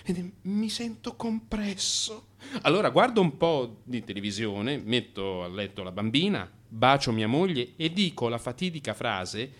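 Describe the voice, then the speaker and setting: A man's voice: mid-range voice, expressive, a little slow. An actor playing an anxious married man in crisis, confessing to his analyst in an agitated, emphatic radio theatre monologue. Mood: restless. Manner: agitated, emphatic, swinging from hushed mutter to outburst.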